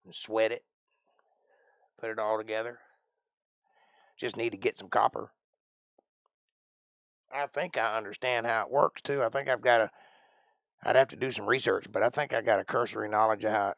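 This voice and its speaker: Gravelly rambling man in his forties. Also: fairly low pitch, fairly even intonation, moderate pace, long pauses, fairly bright tone, dry recording, clean studio sound. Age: 40s. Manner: rambling, folksy, thinks aloud. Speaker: live stream host. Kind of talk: casual chat answering live chat.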